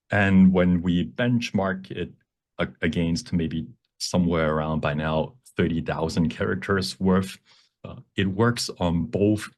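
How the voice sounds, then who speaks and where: A man teaching a live software demonstration, explaining step by step in a halting, self-repeating way and switching between two languages. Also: low voice. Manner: bilingual, switches between English and Cantonese. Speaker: workshop presenter, instructor.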